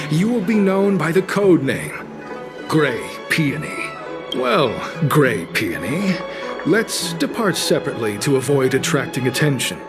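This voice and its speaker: An actor playing a calm, coolly sinister cult recruiter in a video game, speaking formally with polite menace. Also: male voice, mid-range voice. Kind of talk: scripted video game cutscene acting.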